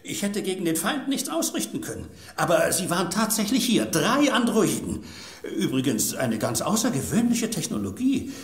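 An eccentric male scientist from a sci-fi audio drama, speaking in a highish, swooping voice. He is polite and rambling, easily sidetracked by his work, in a roomy space. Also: big pitch swings, normal speed. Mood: flustered, chatty. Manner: hesitant, rambling, formally polite. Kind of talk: German audio drama acting.

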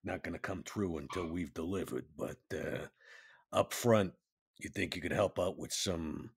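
A man playing a gruff, folksy vampire henchman with a gravelly, husky voice, bargaining casually in a roleplay game. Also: sits low, a little slow, exaggerated voice. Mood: wheedling, casual. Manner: colloquial, hesitant bargaining. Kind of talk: tabletop roleplay acting.

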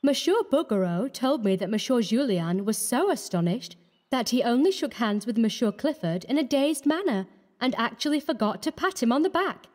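A woman playing a high, lilting, teasing young coquette. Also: expressive, normal speed, a little mellow, natural voice. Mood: amused, teasing. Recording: echoey hall-like reverb.

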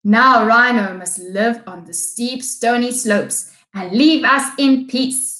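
A woman's voice: a little high, takes it slowly, bright, forceful tone. Woman voicing a commanding storybook lion announcer. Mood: proclaiming. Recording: echoey room.

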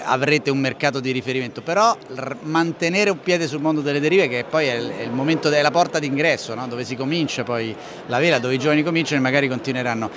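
A man, interviewing in a chatty, informal way. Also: fairly high pitch. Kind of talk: conversational interviewing. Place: open trade-show stand. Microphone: shared handheld microphone. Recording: faint trade-fair background noise. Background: faint ambient noise.

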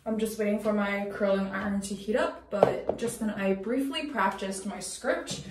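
A woman's voice: mid-range voice, normal speed, far from the mic, heavy background noise. A young woman whose first language is Cantonese, chatting casually and informally as a vlogger in a small washroom, recorded on a camera a step or two away. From Canada, moved there while growing up. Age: young adult. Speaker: TV host and vlogger. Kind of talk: casual vlog chat. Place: small home washroom, indoors. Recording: echoey small bathroom.

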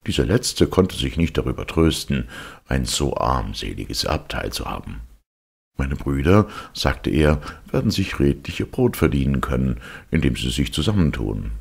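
A man reading a fairy tale aloud as an expressive audiobook narrator. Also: a bass voice, normal speed, gravelly, husky. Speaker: audiobook reader.

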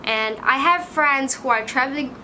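A woman's voice: high-pitched, moderate pace, slight background noise, poor audio. A woman in her twenties with a Malaysian English accent, chatting casually to camera in a small room, full of "like" fillers. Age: 20s. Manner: chatty, frequent "like" fillers, animated. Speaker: vlogger.

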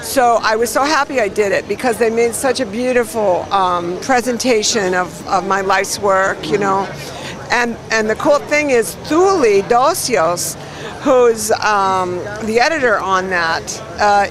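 A woman's voice: medium pitch, unhurried. Chatty woman in her sixties, self-mocking. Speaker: film director, honoree. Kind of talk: red carpet interview. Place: outdoor red carpet. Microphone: handheld mic. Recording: busy crowd outdoors.

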